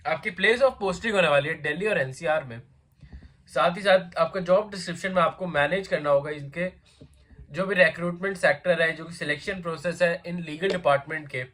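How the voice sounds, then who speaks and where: Man, sore throat, a bit high voice, chatty job-news host, casual explainer. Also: normal speed, a bit bright. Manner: chatty, repetitive fillers, conversational address to viewers. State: sore throat, coughing now and then. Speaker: job vacancy channel host. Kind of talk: casual explainer, Hindi mixed with English.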